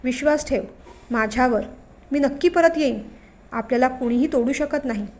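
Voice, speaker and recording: A worried young man speaks in an earnest, pleading way, in a woman's high storytelling voice. It sounds like a storybook reading. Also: normal speed, darkish tone. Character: anxious young man torn by family.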